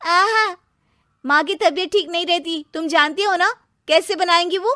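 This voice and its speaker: A very high, whiny young wife and new mother, acted out by a woman, wheedling and sorry for herself. She speaks in storybook dialogue with a dry, close sound. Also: normal speed, bright, forceful tone, dry recording. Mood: peevish complaining. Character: self-pitying, work-shy young daughter-in-law and new mother. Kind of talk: animated moral story dialogue.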